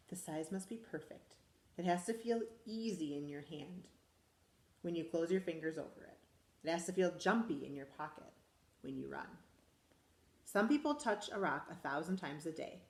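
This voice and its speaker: A school principal, a woman, reading a picture book aloud to children in a lively, expressive way. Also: fairly low pitch, reverberant. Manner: animated, expressive storytelling to children.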